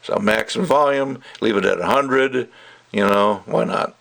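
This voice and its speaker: A man's voice: lowish voice, normal speed, gravelly, a bit bright. A man giving a casual, rambling product review in an opinionated, emphatic way with conversational asides. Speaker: gadget reviewer.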